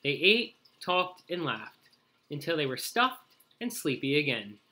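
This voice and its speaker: A man narrating a children's storybook aloud in an expressive read-aloud style. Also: a little high, unhurried. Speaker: story reader.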